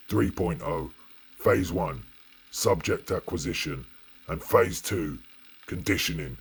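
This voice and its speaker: A man with a gravelly, husky voice reading scripted horror narration in an ominous, grave and deliberate manner. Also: low-pitched.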